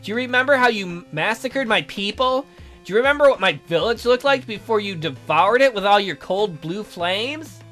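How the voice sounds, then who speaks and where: Man putting on a high, indignant captive boy. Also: expressive, normal speed, bright, forceful tone, faint music behind. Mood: bitter, accusing. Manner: indignant, accusing, theatrical. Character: bitter imprisoned youth stuck in a child's body, sarcastic, resentful. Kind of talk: visual novel character read-aloud.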